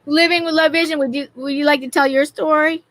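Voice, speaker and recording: A mature woman hosting a panel casually, in a halting way with repeated words and restarts. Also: high voice, steady tone, fairly bright tone, no echo. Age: 40s or older. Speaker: livestream panel host.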